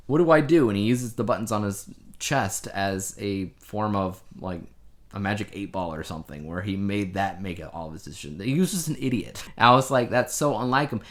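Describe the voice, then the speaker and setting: Low-voiced man, casual podcast co-host. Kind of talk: casual chat about entertainment news.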